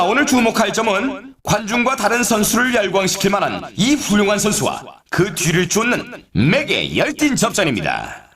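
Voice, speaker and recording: A man voicing an excitable, playful snowboard race announcer in a video game, with dramatic, showy delivery and drawn-out names. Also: high-pitched. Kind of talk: scripted sports commentary, event introductions. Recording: roomy echo on the voice.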